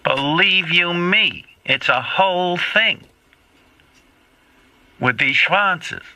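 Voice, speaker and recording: Casting: man playing gushing older mother for radio comedy, very sharp, slow emphatic delivery, dry close sound. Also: high-pitched, lively intonation, dry recording, pitched above the performer's own voice, exaggerated voice. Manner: emphatic, declarative, drawn-out storytelling. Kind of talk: comic impression within radio talk.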